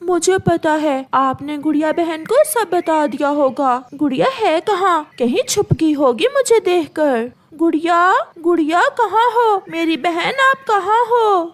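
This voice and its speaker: Woman doing a little boy bird in a cartoon, super high and plaintive, innocent, talks real slow. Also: animated. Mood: eager. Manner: plaintive, pleading. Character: young boy bird, innocent, desperately devoted brother.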